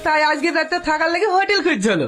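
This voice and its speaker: An actor playing a humble, hospitable village man in a TV drama, sped up and pitched up. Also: big pitch swings, very fast, a bit bright, full character voice. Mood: eager, hospitable. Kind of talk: TV drama acting.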